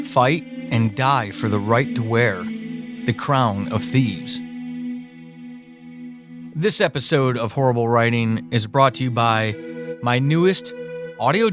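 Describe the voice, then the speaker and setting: A man hosting a podcast, speaking in an encouraging way straight to his listeners. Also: medium pitch. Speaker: podcast host. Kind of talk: conversational interview with promotional narration.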